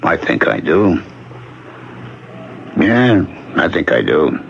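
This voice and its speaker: An actor playing a gentle, plain-spoken widowed drifter with a halting, conversational manner in an old-time radio drama. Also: male voice, extremely low pitch, sing-song, vocal fry, a little hiss or hum, exaggerated voice. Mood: kindly, casual. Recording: muffled vintage radio broadcast.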